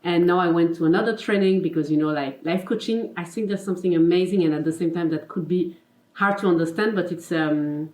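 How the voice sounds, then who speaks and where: Swiss-French woman, low voice, roomy sound. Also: brisk, darkish tone. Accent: French-speaking Swiss. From Geneva, Switzerland. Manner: chatty, laughs often, many fillers like "you know" and "like". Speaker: guest, confidence and life coach. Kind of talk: casual interview chat.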